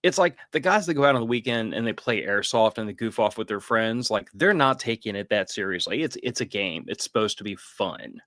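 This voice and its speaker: Gravelly-voiced man hosting. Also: lowish voice, expressive, brisk, few pauses, fairly bright tone, no echo, studio quality. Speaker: podcast host. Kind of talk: casual podcast chat.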